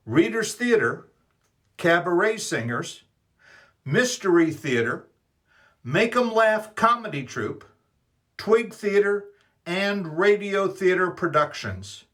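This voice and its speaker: A man reading a scripted promotional announcement in a deliberate, formal manner. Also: high voice, fairly bright tone.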